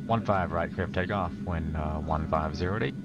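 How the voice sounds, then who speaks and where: Gravelly, low-voiced male tower controller. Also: lo-fi sound, loud music behind the voice. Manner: clipped calls with filler pauses. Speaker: air traffic controller. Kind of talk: radio phraseology. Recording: noisy radio channel.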